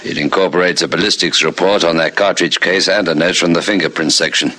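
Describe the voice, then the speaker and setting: An actor playing a measured senior police officer in a vintage radio drama, clipped and deliberate. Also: male voice, low voice, steady tone, sharp tone. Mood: measured, official. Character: measured, authoritative male senior police superior.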